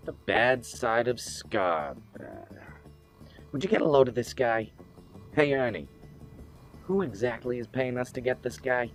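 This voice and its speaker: A man's voice: mid-range voice, lively intonation, a little slow, soft background music, lightly acted. A man playing a smug, menacing gang boss in an audio drama, taunting and condescending as he draws out his words. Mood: gloating. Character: smug, menacing male boss of a hit squad.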